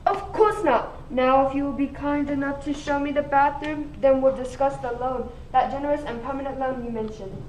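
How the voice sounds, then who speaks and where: Actress as self-important, shady female detective, radio comedy: high-pitched, declamatory, roomy off-mic sound with faint noise. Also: steady tone, moderate pace, hardly any pauses, darkish tone, lightly acted. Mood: pompous, indignant. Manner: pompous, declamatory. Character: self-important, crooked female police inspector. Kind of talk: comic radio mystery play acting. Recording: echoey room, distant mic, faint noise.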